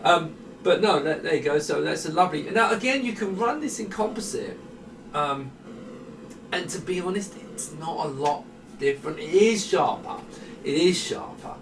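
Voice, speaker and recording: A man's voice: on the high side, expressive, moderate pace, background noise. Roomy, distant man, chatty demonstrator. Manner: chatty, self-deprecating, rambling. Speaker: presenter demonstrating retro computer hardware. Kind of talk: casual off-the-cuff product demonstration. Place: small indoor room at a desk. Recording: roomy, distant camera microphone.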